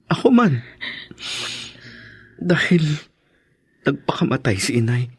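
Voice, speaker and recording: A man acting an earnest, wounded young son in a radio drama, with a husky voice and a sombre manner. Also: on the high side, big pitch swings, slow, no echo, clean studio sound, exaggerated voice. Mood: pained.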